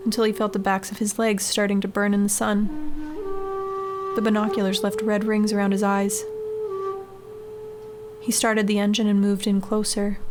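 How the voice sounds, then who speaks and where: A woman reading a scary short story as a scripted audiobook-style narration. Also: medium pitch. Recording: clearly noisy background ambience.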